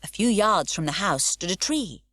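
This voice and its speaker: A woman narrating a children's storybook audiobook in a warm, lively storytelling way. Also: fairly low pitch, normal speed, no room sound, clean studio sound.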